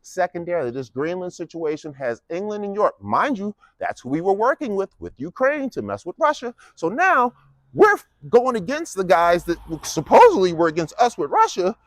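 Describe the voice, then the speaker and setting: A man's voice: high-pitched, sing-song, moderate pace, dry recording. A man in his fifties delivering a casual, mocking political monologue with lots of rhetorical questions. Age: 50s. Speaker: vlogger giving a political speech.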